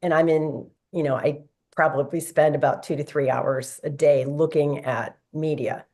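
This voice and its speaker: A woman's voice: sits low, steady tone, moderate pace, darkish tone. A woman speaking conversationally as an audience guest putting a question on a talk show.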